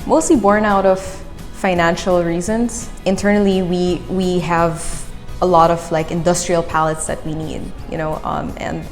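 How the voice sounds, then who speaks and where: Filipino woman, kinda low voice, lots of ums, echoey hall sound. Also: steady tone, a little slow, hardly any pauses. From Philippines. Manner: hesitant, many fillers. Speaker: company director. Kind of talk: documentary interview. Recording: long room reverb with soft music.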